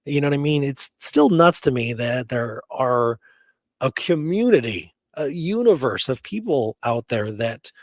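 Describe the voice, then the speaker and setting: A man's voice: medium pitch, animated, a little slow, dry recording. A man hosting a podcast in a casual discussion of history, musing aloud with rhetorical questions and repeated phrases, and freely giving his opinions. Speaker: podcast host.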